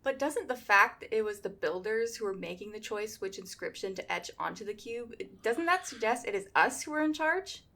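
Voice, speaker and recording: Female student, bright forceful tone, average pitch, pointed, questioning, audio drama. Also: lively intonation, moderate pace, hardly any pauses. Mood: challenging. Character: challenging female student questioning her professor. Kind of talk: audio drama classroom dialogue.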